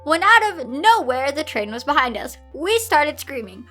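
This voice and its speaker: A woman reading a story aloud informally, breaking off for animated, exasperated side comments. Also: high-pitched, normal speed, faint music behind. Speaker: video host reading fan fiction aloud.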